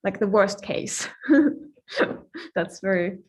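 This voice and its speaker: A woman's voice: mid-range voice, lively intonation. Finnish woman lecturing informally, thinking aloud. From Finland. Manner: informal, playful asides, often pausing mid-thought.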